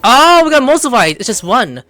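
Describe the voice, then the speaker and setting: A woman's voice: fairly high pitch, very animated, moderate pace, a bit bright. A woman doing casual gameplay commentary, thinking aloud and reacting as she plays. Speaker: gamer playing through a story game. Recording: faint game music behind.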